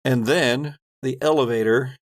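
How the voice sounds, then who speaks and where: A man narrating a tabletop game as game master, improvising in a deliberate, halting, dramatic way. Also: medium pitch, no echo, studio quality.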